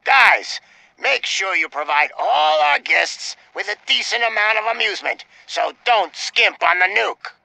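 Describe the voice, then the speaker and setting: A man's voice: high-pitched, animated, measured pace, gravelly, bright, forceful tone, slight background noise, heavily acted. An actor playing a cocky, sadistic male gang leader in a video game, taunting like a theatrical showman. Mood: gleeful, taunting.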